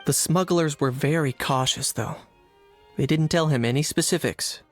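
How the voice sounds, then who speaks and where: A man's voice: on the high side, moderate pace, soft background music, close to an everyday voice. An actor playing a shrewd young man narrating and musing as he investigates smugglers in a game. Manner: thoughtful, inquisitive inner monologue.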